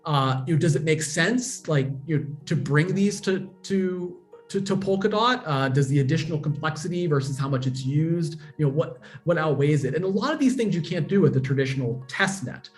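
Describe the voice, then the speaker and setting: A man's voice: a little high, animated, brisk, few pauses, soft background music. A man, a guest on a remote interview, patiently explaining technology with everyday examples. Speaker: guest, blockchain educator. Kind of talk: casual explanatory interview talk.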